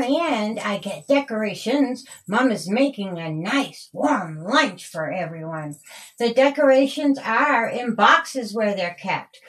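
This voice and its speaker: A woman narrating a rhyming children's story in a deliberate, singsong storytelling manner. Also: a little high, husky. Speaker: author reading own story.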